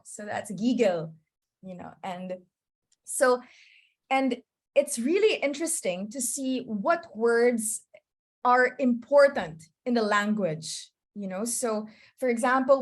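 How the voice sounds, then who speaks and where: A woman presenting an online teaching webinar over a video call, chatty and often checking in with her listeners. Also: on the high side. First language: English. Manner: chatty, often checks in with "you know?" and "okay?".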